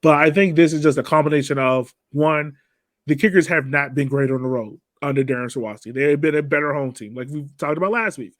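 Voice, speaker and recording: Higher-voiced man, dry close sound. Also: brisk, fairly bright tone, no room sound. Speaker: soccer podcast panelist. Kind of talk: casual post-game sports discussion.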